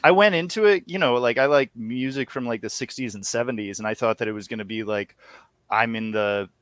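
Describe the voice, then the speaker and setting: A man's voice: mid-range voice, fairly quick, a bit bright, clean tone, dry recording. A man in his thirties talking casually as an interview guest in a rambling, wry, self-deprecating way. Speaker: guest, audio series co-creator and musician.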